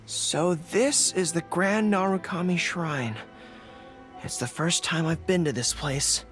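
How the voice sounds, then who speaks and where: A woman voicing an earnest, excitable young male dog-eared general in a video game, stammering when flustered. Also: on the low side, slow pace. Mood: earnest, determined. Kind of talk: video game character dialogue. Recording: game music behind the voice.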